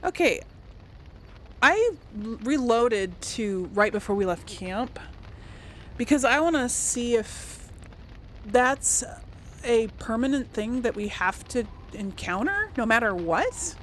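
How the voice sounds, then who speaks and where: A woman's voice: a little high, big pitch swings, slow, slight background noise, rough recording. A woman giving chatty, informal let's play commentary as a gaming channel host, talking directly to her viewers.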